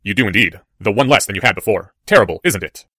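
A man's voice: on the low side, expressive, fast talker, pushed and bright, no echo, studio quality, pitched below the performer's own voice. A man voicing an evil professor who taunts with grave, silky, sardonic politeness in an audiobook story. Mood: mock-solemn taunting.

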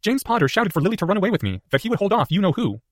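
A man's voice: high voice, sing-song, very fast, no echo, clean studio sound, voice pushed up. A man reading the part of a clever young boy wizard, talking in an earnest, urgent way. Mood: shaken.

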